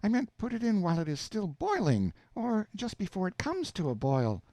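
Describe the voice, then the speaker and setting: A man voicing a chattering housewife who exclaims loudly into a telephone. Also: sits high, huge pitch swings, normal speed, dry recording, pitched above the performer's own voice. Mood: exclaiming. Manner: shouting into the phone, exclaiming.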